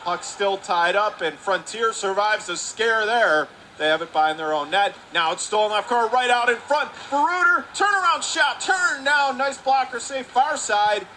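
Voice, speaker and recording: High, bright-voiced hockey play-by-play man. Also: a little hiss or hum. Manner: rapid, rising to excited shouts on close plays. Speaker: radio play-by-play announcer.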